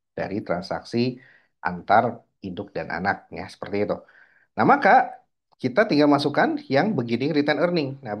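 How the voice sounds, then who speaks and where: Man teaching with a lively, swinging pitch. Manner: didactic and repetitive, frequent checking tags and self-posed questions. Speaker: accounting lecturer. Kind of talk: informal tutorial explanation of a worked exercise.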